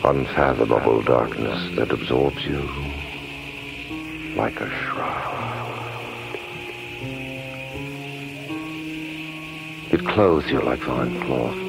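A man narrating a radio horror play in an ominous, theatrical style with deliberate phrasing. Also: a bass voice, creaky, heavily acted. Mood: menacing, hushed. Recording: muffled old 1970s radio recording. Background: loud music.

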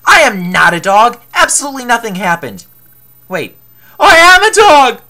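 A woman playing an excitable young man turned talking dog in a storybook, indignant and melodramatic. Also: sits high, fast talker, pitched above the performer's own voice. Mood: indignant, panicked. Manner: melodramatic, exclaiming.

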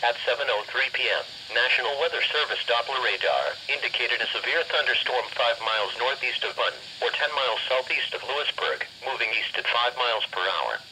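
A synthetic man's voice reading automated weather bulletins formally through a radio speaker, with flat, measured phrasing. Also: medium pitch. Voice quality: synthetic text-to-speech voice. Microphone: camera held above radio. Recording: faint noise, radio speaker re-recorded.

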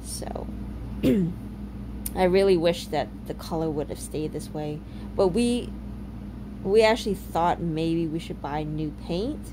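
A woman in her thirties narrating a home renovation video in a relaxed way, with many ums and uhs. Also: fairly low pitch, slow pace, pauses often, noisy background. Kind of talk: casual voice-over narration.

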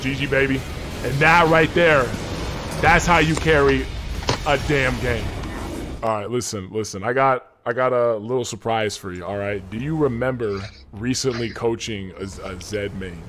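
A man's voice: medium pitch, steady tone, normal speed, music in the background. A man in his twenties chatting casually while gaming, slangy, cocky and playful, on a close desk microphone. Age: 20s. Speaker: gaming streamer. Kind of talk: casual gameplay chat. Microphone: close large desk microphone.

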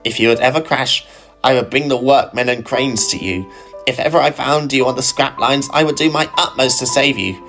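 A man's voice: mid-range voice, normal speed, fairly bright tone, faint music behind, pitched above the performer's own voice, close to an everyday voice. A man voicing an earnest, loyal male engine speaking solemnly.

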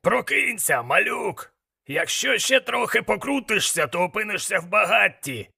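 Very gravelly, scoffing demon mentor. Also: male voice, high voice, animated, moderate pace, pushed and bright, heavily acted. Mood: urgent, scolding. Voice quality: gravelly, rasping. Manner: sardonic, emphatic, lecturing. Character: gruff, scoffing demon mentor, brisk and worldly-wise.

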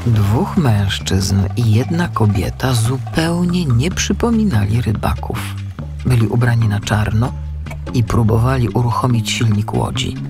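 A man narrating a scripted children's audiobook with expressive storytelling. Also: medium pitch, measured pace, over music.

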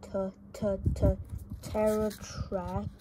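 An amateur reader voicing an anxious, grumpy adult male mouse from a comic, haltingly, in a noisy home recording. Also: mid-range voice, flat delivery. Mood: reluctant, flustered. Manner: flustered, refusing, halting.

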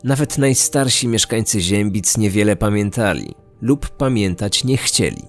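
A man narrates a true-crime podcast in a low voice. His delivery is scripted, in documentary style, with faint music running underneath. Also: very animated, measured pace.

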